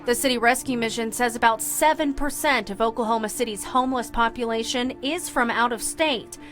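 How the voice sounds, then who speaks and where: A woman's voice: a little high, a little fast, fairly bright tone. A woman news reporter giving serious, scripted narration for a television news feature, over a music bed.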